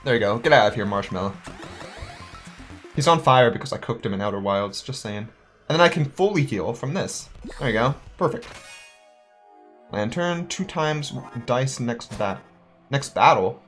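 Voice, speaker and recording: A man's voice: mid-range voice, animated, normal speed, pauses often, a bit bright, faint music behind. Chatty man narrating his game. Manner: chatty, thinks aloud, counts dice under his breath. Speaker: gaming channel host. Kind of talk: let's play commentary.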